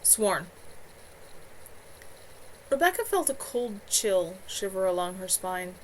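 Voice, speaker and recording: A woman's voice: medium pitch, big pitch swings, normal speed. A woman narrating a fantasy story aloud in an unpolished amateur manner on a home livestream. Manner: amateur, unpolished reading with stumbles. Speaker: author reading own novel. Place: at home, live stream. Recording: clearly noisy home livestream.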